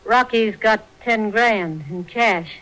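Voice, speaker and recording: A woman's voice: mid-range voice, takes it slowly, a bit bright, poor audio. An American actress playing a scheming, greedy gangster's girlfriend in a 1940s radio drama, with slangy, bossy talk. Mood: cold, commanding. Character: scheming, hard-boiled gangster's girlfriend, greedy and two-faced.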